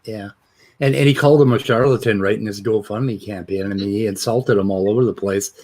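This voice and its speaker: A man hosting a debate review stream, in a casual, rambling chat with halting restarts. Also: on the low side, a little mellow.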